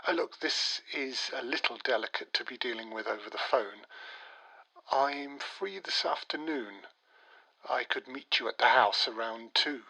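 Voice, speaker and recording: A man's voice: mid-range voice, unhurried, creaky, sharp tone, full character voice, poor audio. A man playing a courteous, evasive older family solicitor in an audio drama, speaking formally and a little hesitantly. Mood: formal, delicate. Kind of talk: naturalistic audio drama acting.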